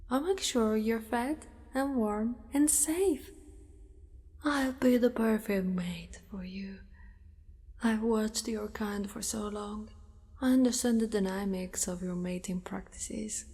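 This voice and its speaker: Woman doing a possessive spider monster girl for asmr, really slow n whispery, long cavern-like reverb. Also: medium pitch. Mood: possessive, soothing. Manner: slow, hushed, often slipping into whispers, formal wording. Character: possessive, doting, predatory female spider monster.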